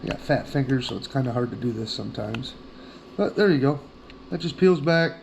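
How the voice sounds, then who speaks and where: A man's voice: mid-range voice, animated, brisk, gravelly. Male product reviewer, folksy and plain-spoken, mid-range voice, casual hands-on delivery, faint noise behind.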